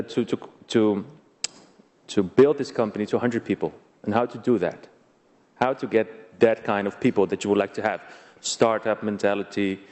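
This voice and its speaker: A man's voice: mid-range voice, darkish tone. Dutch guy with accent doing panel talk, punchy short phrases, echoey big room. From Netherlands. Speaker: entrepreneur and panelist. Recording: echoey hall.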